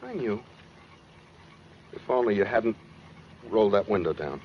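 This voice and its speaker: Brooding killer, swinging intonation. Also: male voice, on the low side, expressive, measured pace. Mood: grim, regretful. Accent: American. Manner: terse, fatalistic, threatening. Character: menacing, brooding male killer on the run. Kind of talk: 1940s radio drama acting. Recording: dull, noisy 1940s radio recording.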